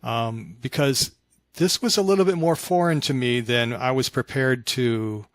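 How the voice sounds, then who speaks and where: A mature man telling stories conversationally in an interview, with a halting, reflective delivery. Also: medium pitch, dry recording. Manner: halting and reflective, animated when acting out remembered dialogue. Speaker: podcast guest, author of fiction.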